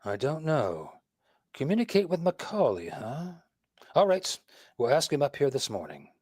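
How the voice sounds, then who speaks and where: A man's voice: fairly high pitch, huge pitch swings, normal speed, darkish tone, husky, heavily acted. A man playing a witty, nonchalant amateur detective and husband in a vintage radio mystery. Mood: offhand. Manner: wry, evasive banter.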